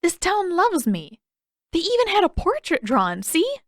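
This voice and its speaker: A woman voicing an excitable, boastful young teenage boy in an audiobook, exuberant and eager. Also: very high-pitched, a little slow, dry recording, clean studio sound, pitched above the performer's own voice, heavily acted. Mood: cheerful. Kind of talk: audiobook character voice.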